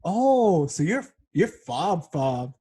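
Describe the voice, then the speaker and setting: A man's voice: fairly high pitch, sing-song, drawn-out words, mellow, husky. A man hosting a podcast in a casual, chatty interview style, with a teasing, joking manner. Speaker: podcast host.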